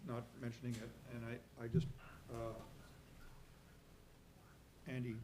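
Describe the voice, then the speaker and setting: A man's voice: mid-range voice, completely flat delivery, dark tone, slight background noise, poor audio. Man proposing edits at a town meeting. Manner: deliberate, reads proposed wording aloud. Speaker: selectboard member. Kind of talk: public meeting remarks.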